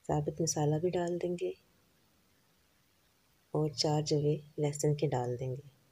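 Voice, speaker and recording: Low, husky-voiced woman teaching a recipe. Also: takes it slowly. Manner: calm, instructive, lists ingredients and quantities. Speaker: cooking channel host. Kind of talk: step-by-step recipe voice-over.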